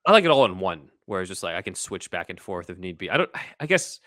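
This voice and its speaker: A man's voice: low-pitched, big pitch swings, fast talker, fairly bright tone, no echo, studio quality. A man co-hosting a podcast, talking casually in an informal, conversational way. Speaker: podcast co-host. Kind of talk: casual chat.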